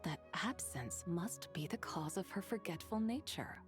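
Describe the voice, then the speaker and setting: Low-voiced, composed immortal lady. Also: expressive, music in the background. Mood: grave, composed. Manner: formal, measured, archaic wording. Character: composed, courteous immortal woman, wise and formal. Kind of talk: video game voice acting.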